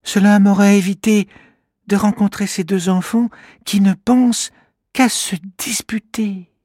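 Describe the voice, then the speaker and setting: A small green creature from a children's storybook, earnest and gently indignant, as a man puts him on. He speaks high and slowly, with a soft husky edge, close and dry. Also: fairly even intonation, no echo. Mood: rueful.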